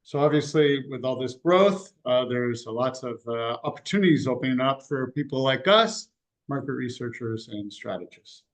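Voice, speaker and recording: Relaxed American man presenting research. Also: mid-range voice, moderate pace. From United States. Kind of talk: webinar presentation.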